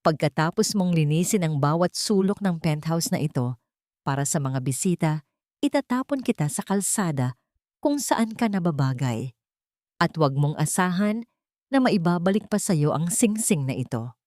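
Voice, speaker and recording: A woman voicing a haughty, cruel young fiancée in an audio story, shrill and scornful as she barks orders. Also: animated, normal speed, a little mellow, no room sound, studio quality, close to an everyday voice. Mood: contemptuous.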